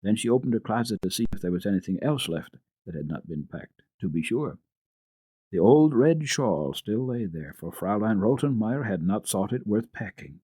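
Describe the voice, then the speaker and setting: Male children's storyteller: lowish husky voice, very animated, careful dramatic narration. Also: normal speed, no echo. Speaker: storyteller and radio host. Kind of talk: dramatic reading of children's classic literature.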